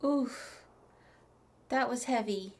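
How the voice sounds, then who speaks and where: A woman's voice: fairly high pitch, takes it slowly, slight background noise. A woman game streamer giving casual live reactions and reading dialogue aloud.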